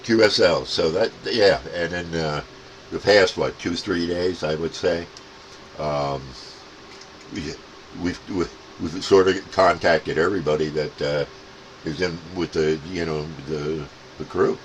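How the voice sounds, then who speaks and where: Male CB hobbyist, extremely deep voice, casual halting radio chat, faintly noisy transmission.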